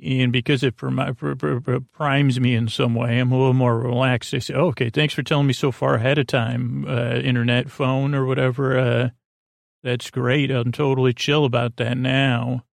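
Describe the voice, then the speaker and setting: Creaky, meandering male bedtime podcast host. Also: medium pitch, flat delivery, dry recording. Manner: meandering, halting, repeats and trails off mid-thought; silly, whimsical. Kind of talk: rambling bedtime monologue.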